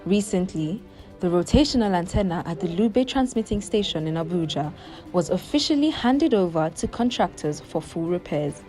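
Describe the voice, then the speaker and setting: Female news reporter, scripted narration, lowish measured delivery over light music. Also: animated, mellow.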